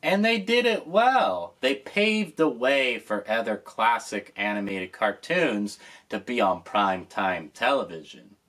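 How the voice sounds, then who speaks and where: A man hosts a nostalgic commentary, speaking slowly and with real enthusiasm. His pitch rises and falls freely as he stresses each point. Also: big pitch swings, a bit bright. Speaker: host.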